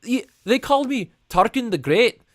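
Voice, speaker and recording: A man's voice: very high voice, lively intonation, no echo, studio quality, pitched above the performer's own voice. A man voicing a boastful male dwarf adventurer in a fake generic fantasy Scottish accent, reciting his titles with pompous swagger. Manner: loud, pompous, drawn-out boasting.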